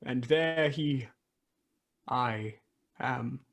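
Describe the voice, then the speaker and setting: A woman playing a regretful, easily moved old man in a radio play, with a husky, breathy voice and halting, dramatic delivery. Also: rumbling low, exaggerated voice. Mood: sad, subdued. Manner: halting, dramatic, swinging between exclamation and hush.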